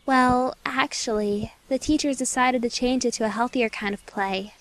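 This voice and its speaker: A woman's voice: fairly high pitch, steady tone, normal speed, close to an everyday voice, low-quality recording. A woman voicing a sassy, sarcastic teenage girl in a cartoon parody, with a snarky manner. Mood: matter-of-fact. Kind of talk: fan parody cartoon dub.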